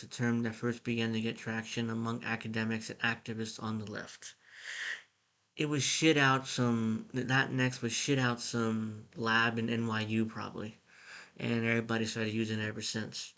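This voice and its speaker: Blunt, irritated American man. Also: mid-range voice. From United States. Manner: blunt, irritated, with frequent restarts. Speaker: political commentator. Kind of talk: casual commentary reading an article.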